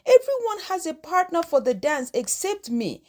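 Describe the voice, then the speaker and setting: A woman acts out a teenage schoolboy in a storybook tale. He is plaintive, self-pitying and dismissive, with a high voice that swings up and down. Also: big pitch swings, moderate pace, a little mellow. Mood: complaining. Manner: plaintive complaining.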